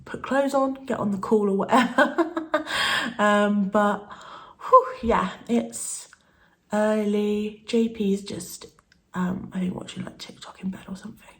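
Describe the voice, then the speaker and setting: A woman in her thirties chats at home while doing her makeup, her voice still croaky from an early start. She rambles warmly with soft laughs and plenty of fillers, at an ordinary pitch. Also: measured pace. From United Kingdom. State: croaky morning voice. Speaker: beauty vlogger. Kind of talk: casual get-ready-with-me chat.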